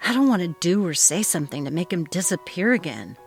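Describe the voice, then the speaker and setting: A woman playing a steady, thoughtful middle-aged father in a dramatized story, speaking in a calm, measured way. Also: fairly low pitch, husky, faint music behind, heavily acted. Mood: reflective.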